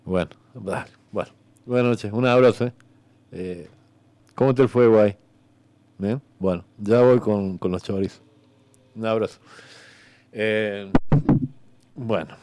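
A man plays a laid-back celestial bureaucrat with an Argentine accent in a comic radio play. His low voice is smooth and recorded dry. He hesitates and rambles colloquially, dodging any firm answer. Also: slow, pauses often, mellow, dry recording. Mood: hesitant, casual. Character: easygoing, evasive celestial clerk at eternity's front desk.

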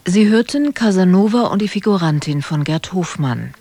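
A woman announces in a formal broadcast style. Her voice is on the low side, and the studio sound is dry, with no room echo. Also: animated, moderate pace, dark tone. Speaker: radio announcer.

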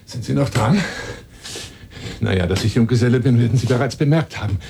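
A man's voice: a little fast, noisy background, close to an everyday voice. An actor playing a lonely, boastful middle-aged bachelor adventurer wooing a woman by phone in a radio drama, with flowery, insinuating talk that drops into near-whispers. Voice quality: deep, gravelly. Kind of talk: radio drama monologue, one side of a phone call.

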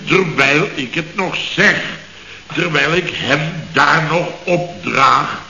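A blustering company boss rants and sarcastically mimics his staff. His voice is sharp and bright, a little high. It sounds like a roomy, dull old radio comedy recording with faint noise. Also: takes it slowly, creaky, heavily acted. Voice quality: sarcastic, ranting, mimics others mockingly. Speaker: boss of a building firm. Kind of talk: 1960s radio comedy acting.

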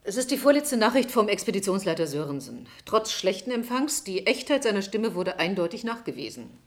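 An actress playing a stern, principled and compassionate doctor in a radio play, insistent and reproachful. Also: female voice, mid-range voice, very animated, a little fast. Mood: stern, grave.

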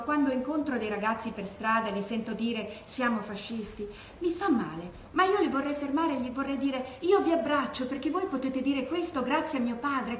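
An actress playing an elderly woman recalling her youth under fascism, in an earnest, deliberate stage monologue. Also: high voice. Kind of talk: stage monologue from oral testimony. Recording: roomy, echoey stage recording with noise.

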